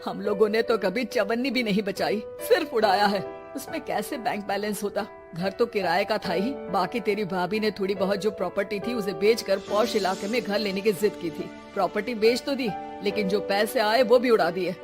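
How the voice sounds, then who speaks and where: A woman's voice: mid-range voice, moderate pace, few pauses, lightly acted. A woman voicing a distressed, regretful mother in a cartoon, plaintive and lamenting, with music underneath. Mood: bitter regret. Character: distressed, regretful mother who has lost everything. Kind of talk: melodramatic cartoon voice acting.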